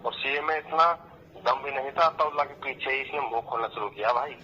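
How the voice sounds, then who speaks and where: A man's voice: mid-range voice, fairly quick, pushed and bright, slight background noise. A man on a muffled phone call, persuading and often checking for agreement. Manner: persuasive, appealing, checks agreement with tag questions. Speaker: government employee on a recorded phone call. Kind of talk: casual phone conversation, persuading.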